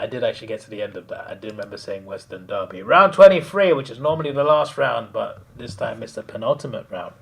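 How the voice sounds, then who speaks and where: A man chatting casually on a live stream, hoarse, rambling and laughing often. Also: mid-range voice.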